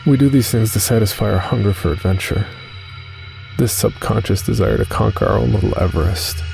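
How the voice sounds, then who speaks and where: A man plays an obsessed amateur caver narrating his horror journal. The voice is deep and gravelly, with a solemn, brooding delivery over clear background music. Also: brisk. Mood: reflective. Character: Ted, driven haunted amateur caver writing a journal. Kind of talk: dramatic first-person horror narration. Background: music under the voice.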